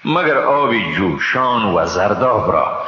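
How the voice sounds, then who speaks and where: A man's voice: lowish voice, big pitch swings. A man with an Afghan Dari accent, solemnly reading a translation of scripture. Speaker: translation reader. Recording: echoey, long reverb, dull and noisy.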